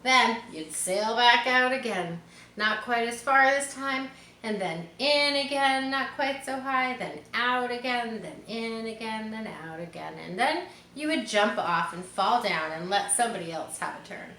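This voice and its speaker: A woman narrates a children's book with expression and informal asides. Her voice is bright and medium in pitch, recorded in an echoey room with faint noise behind it. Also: lively intonation, unhurried. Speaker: storybook reader.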